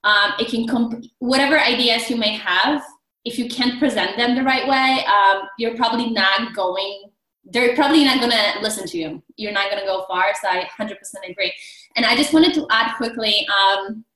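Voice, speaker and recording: A young woman with a Polish accent speaks over a video call, earnest and encouraging. Her voice sits a touch high and sounds bright and forward. The sound carries a clear echo from the room she is in. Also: normal speed. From Poland. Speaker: alumni mentor. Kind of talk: casual advice on a video call.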